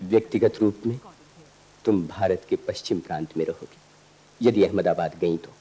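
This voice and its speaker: A man's voice: low-pitched, normal speed, dark tone, natural voice, low-quality recording. A man playing an earnest, resolute idealist lover in a radio play, with solemn, poetic, declamatory delivery. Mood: tender, wistful. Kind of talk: stage-like radio play acting.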